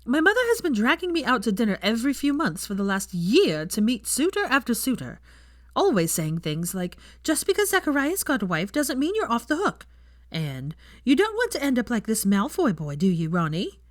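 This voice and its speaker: Brash young witch played by a female narrator, swooping lively pitch, emphatic, exasperated, theatrical storybook dialogue, close dry sound. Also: normal speed, no room sound. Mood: angry ranting. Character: brash, angry young pure-blood witch, foul-mouthed and theatrical. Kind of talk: acted audiobook dialogue.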